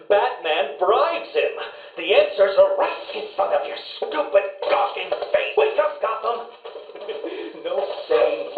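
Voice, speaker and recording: A man's voice: a little high, heavily acted. A man playing a smug, obsessive riddle-posing villain in a video game, with a condescending, theatrical, sneering delivery. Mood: contemptuous, ranting. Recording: tinny phone-like sound, roomy echo.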